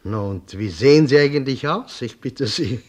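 A man acts a well-off older businessman in a radio drama. His husky voice swoops in lively fashion, and he is polite and eager, keen to prompt the other speaker. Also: medium pitch, moderate pace, lightly acted. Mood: curious. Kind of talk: German radio play acting.

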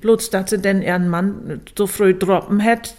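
Low German-speaking woman explaining as expert. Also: steady tone, a little slow, a little mellow. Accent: Low German, northern Germany. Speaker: expert interviewee. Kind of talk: spontaneous interview explanation.

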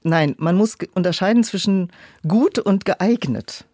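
A woman's voice: mid-range voice, expressive, measured pace, dark tone, no room sound, clean studio sound. A woman speaking in a reflective interview as an audiobook director, explaining things and illustrating them with quoted asides. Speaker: audiobook director and producer, podcast guest.

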